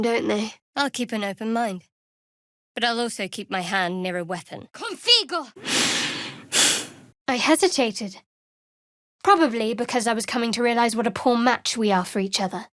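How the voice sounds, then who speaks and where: Higher-voiced sardonic young cleric played by a woman. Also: normal speed, fairly bright tone, no room sound, lightly acted. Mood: wary, then casting spells. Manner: dry, cool, wry. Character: guarded, sardonic young female cleric of a dark goddess. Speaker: video game character. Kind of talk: scripted fantasy game dialogue.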